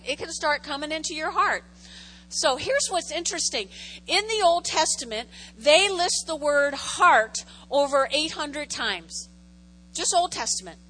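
A woman's voice: high voice, bright, forceful tone. A woman pastor preaching a sermon in a conversational way, repeating key phrases for emphasis and making jokey asides to the congregation.

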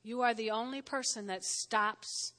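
A woman pastor with a New Jersey accent, preaching a sermon in a lively, conversational way and often checking in with "all right?". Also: a little high, measured pace. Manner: lively, spells words out, frequent "all right?" check-ins. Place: church sanctuary. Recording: long hall reverb.

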